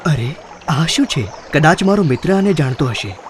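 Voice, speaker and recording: A man playing a humble, curious fisherman in a children's animated story, respectful and simple. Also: on the high side, moderate pace, a little hiss or hum, close to an everyday voice.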